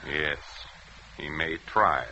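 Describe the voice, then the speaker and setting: An actor playing a steady, courteous police officer asking probing questions in 1940s radio suspense. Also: male voice, a bass voice, takes it slowly, full character voice. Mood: probing, reassuring. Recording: dull, noisy vintage radio recording.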